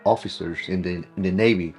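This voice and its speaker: A middle-aged man with a low voice and a Korean accent chats casually. His speech is halting and peppered with "you know". Faint music plays behind him. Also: steady tone, a little mellow. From South Korea. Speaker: client visiting a workshop. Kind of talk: casual conversation.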